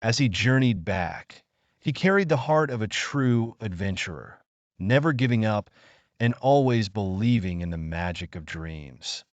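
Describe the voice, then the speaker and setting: A man narrating scripted children's bedtime stories in an expressive, deliberate storybook manner. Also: fairly low pitch, no room sound, muffled recording.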